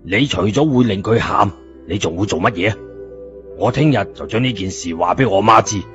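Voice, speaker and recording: A man voicing a rough, hot-headed, scornful uncle in an audio story, with dull sound. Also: on the low side, moderate pace, lightly acted. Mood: cold contempt. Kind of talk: scripted audio story dialogue. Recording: dull lo-fi audio, music behind.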